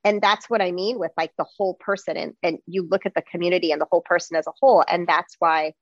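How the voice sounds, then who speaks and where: A woman host in a casual conversation, chatty and animated, with lots of fillers. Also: lowish voice, quick pace, dry recording, lo-fi sound. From United States.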